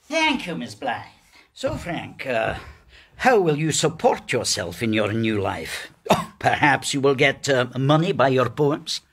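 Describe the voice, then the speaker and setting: A man's voice: medium pitch, sing-song, measured pace, fairly bright tone, husky, full character voice. Stern, scornful father, swooping voice. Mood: cold. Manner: formal, sarcastic, domineering. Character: stern, scornful middle-aged father, 1920s London businessman. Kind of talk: period radio drama acting.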